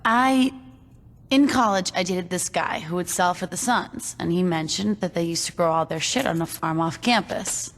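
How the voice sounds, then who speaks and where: Game role for an actress: young female gang lieutenant, slangy and casual, slightly low voice, long reverb. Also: moderate pace, lightly acted. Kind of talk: video game acting.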